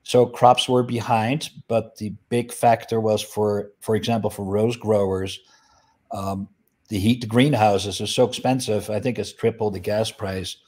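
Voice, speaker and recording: A man's voice: on the low side, steady tone, measured pace, darkish tone. A middle-aged man from the Netherlands, explaining his business in a video call interview with many fillers. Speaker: guest, flower business owner. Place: indoor room.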